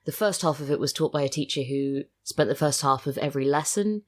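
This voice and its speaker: Female guest, British accent, notably low pitch, informal interview talk with dry, self-mocking humour. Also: brisk. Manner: self-deprecating, wry. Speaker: podcast guest, audio drama writer. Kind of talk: casual interview chat.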